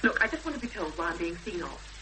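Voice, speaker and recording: A woman playing an anxious but determined young woman in a 1970s radio drama, speaking hesitantly with thoughtful pauses. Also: fairly low pitch, sing-song. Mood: uneasy, insistent. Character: anxious, determined young woman seeking her missing sister. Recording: noisy, hissy 1970s radio broadcast recording.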